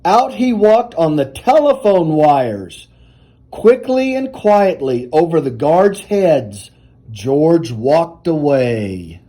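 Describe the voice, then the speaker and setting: A man reading a children's picture book aloud in an animated, deliberate storytelling manner. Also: fairly high pitch, poor audio.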